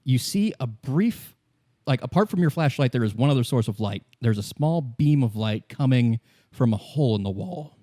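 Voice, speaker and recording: A man narrating an improvised tabletop game as game master, in a halting, conversational way. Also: medium pitch, expressive, mellow, dry recording, studio quality.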